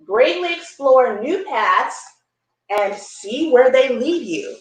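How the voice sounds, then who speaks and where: A woman hosting a children's story time, reading a picture book aloud with expressive, encouraging asides and simple explanations. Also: sits high, slow pace, echoey room, low-quality recording.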